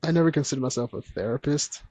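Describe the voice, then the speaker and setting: A man speaking gently and earnestly as a podcast guest, with a soft, soothing voice. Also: medium pitch, expressive, fast, no echo. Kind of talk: candid conversational interview.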